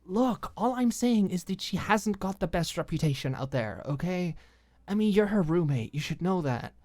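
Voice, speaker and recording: A woman's voice: on the low side, expressive, normal speed, warm tone, no room sound, pitched above the performer's own voice. A woman playing a clingy, jealous young girlfriend in an audiobook drama, pushy and insistent. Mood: defensive. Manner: coaxing, insistent, scornful when crossed.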